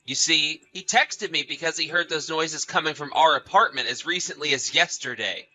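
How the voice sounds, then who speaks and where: A man's voice: on the high side, moderate pace, bright, forceful tone, soft background music, poor audio. A man acting a betrayed husband in a dramatized story, polite but suspicious and accusing, with a theatrical, emphatic delivery. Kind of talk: dramatized text-message story narration.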